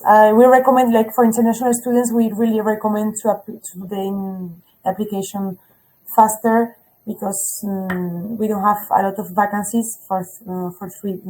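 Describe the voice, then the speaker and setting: A woman's voice: mid-range voice, a little mellow, slight background noise, rough recording. A woman representing a school, explaining fees and places in a webinar with frequent self-corrections. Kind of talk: webinar information talk.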